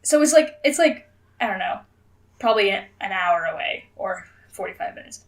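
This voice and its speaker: A woman's voice: mid-range voice, animated, normal speed, low-quality recording. A woman co-hosting a podcast with casual comedic banter, blunt, sarcastic and freely sweary. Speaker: podcast co-host.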